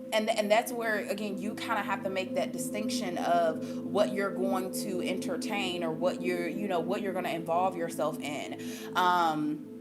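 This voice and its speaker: An American woman talking casually and emphatically to viewers as she gives an intuitive reading, repeating her points for stress. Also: medium pitch. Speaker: spiritual reader giving intuitive readings to viewers. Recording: music playing behind the voice.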